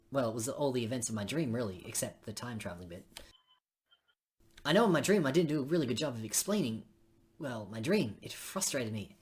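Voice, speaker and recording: Very low, husky-voiced woman. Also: darkish tone. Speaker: streamer reading a visual novel aloud. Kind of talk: reading game dialogue aloud with casual asides.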